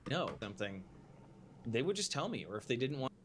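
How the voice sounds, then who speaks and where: A man's voice: mid-range voice, very animated, brisk, fairly bright tone. A man giving an aggrieved personal statement in an emphatic, indignant way, heard as replayed video audio. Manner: emphatic, indignant, dwelling on grievances.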